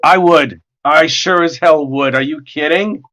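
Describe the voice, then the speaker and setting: A man with a hoarse voice, chatting casually and joking as the host of a livestream while he draws, excitable and swearing freely. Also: fairly high pitch, measured pace. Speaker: artist hosting a livestream. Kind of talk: casual chat while drawing.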